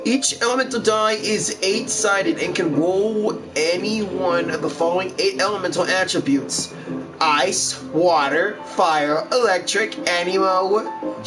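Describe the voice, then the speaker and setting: High-voiced man doing distant-miked game commentary. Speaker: gameplay streamer doing a walkthrough. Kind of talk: gaming commentary, reading on-screen text aloud. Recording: distant mic with game music behind.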